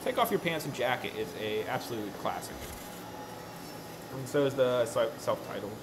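A man's voice: medium pitch, expressive, moderate pace, background noise. A man in his thirties chatting casually with his live stream while working at a crafting table, rambling and often correcting himself. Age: 30s. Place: workshop room with a worktable.

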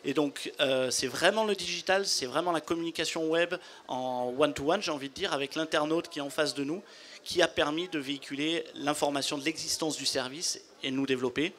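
A man's voice: a little high, a bit bright. Businesslike man at a trade fair booth. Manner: measured, explanatory, businesslike. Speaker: company founder. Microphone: handheld mic.

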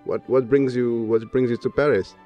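A man's voice: mid-range voice, fairly even intonation, normal speed, warm tone, faint music behind. Hesitant young man hosting a podcast. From Lebanon. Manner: thoughtful, hesitant, many fillers. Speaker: podcast host. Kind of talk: interview questions. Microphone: studio mic on boom arm.

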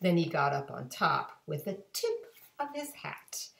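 A woman in her forties reads a children's storybook aloud with very animated, emphatic delivery. She takes it slowly, and the room sounds echoey, like a home webcam recording. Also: sits low, vocal fry. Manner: expressive, emphatic, gesturing. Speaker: guest storybook reader. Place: kitchen-dining room at home. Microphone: webcam or laptop at arm's length.

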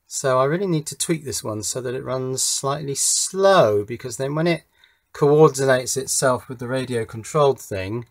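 A man's voice: medium pitch, normal speed. Chatty man at his workbench. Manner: chatty, explains as he works, wry asides. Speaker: electronics hobbyist presenter. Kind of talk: casual workbench commentary. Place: home workbench, indoors.

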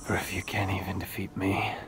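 An actor playing a frail, solemn, mysterious young man in a video game, weary, breathy and formal. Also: deep voice, little pitch movement, fairly quick, very noisy, lightly acted. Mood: solemn resolve. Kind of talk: video game cutscene acting. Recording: loud music behind.

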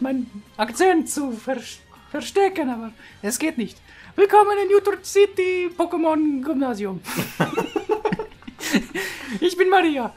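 A man voicing a female gym leader with a thick made-up foreign accent, in a high falsetto that keeps breaking into giggles. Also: heavily acted. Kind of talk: reading game dialogue aloud in a put-on voice.

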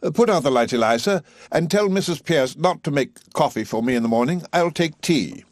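A man playing a haughty, short-tempered gentleman professor in a play reading, pompous and emphatic. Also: a little high, animated, fairly quick, dry recording, studio quality, voice pushed up, close to an everyday voice. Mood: brisk, impatient. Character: haughty, irascible gentleman professor, loftily dismissive.

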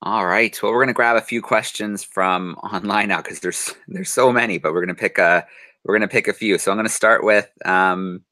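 A man in his thirties hosting a live video call for classrooms, brisk and friendly as he calls on each class. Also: deep voice, pushed and bright, dry recording. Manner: brisk, friendly, addresses kids directly. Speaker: host. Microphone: webcam on video call.

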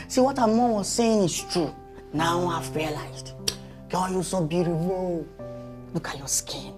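A woman playing a smitten husband who stammers and begs softly, over music in a comedy skit. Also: on the low side. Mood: fawning. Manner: soft, stammering, begging. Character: pleading smitten husband, man, grown.

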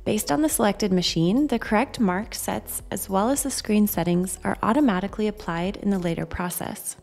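A woman narrates a scripted software tutorial in a mid-pitched voice. Her delivery is measured and instructional, with music playing faintly behind her. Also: creaky. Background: background music.

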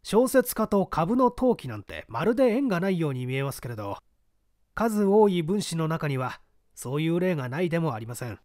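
A young man narrates a literary mystery in a fairly high voice for a male. His intonation moves widely while the phrasing stays deliberate and measured. The sound is close and dry, with very little room. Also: very animated, a little mellow, no room sound. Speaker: audiobook reader.

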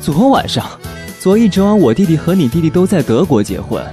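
A man's voice: on the high side, big pitch swings, fairly quick, dark tone, soft background music. A man playing an exasperated young boyfriend in a comic audio drama, blunt, impatient, snappy and sarcastic. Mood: fed up.

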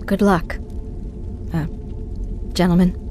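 An actress playing a proud, wary young human sorceress in a video game, speaking in a crisp, self-assured manner. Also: female voice, on the low side, level tone, slow pace, a little mellow, faint music behind. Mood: businesslike.

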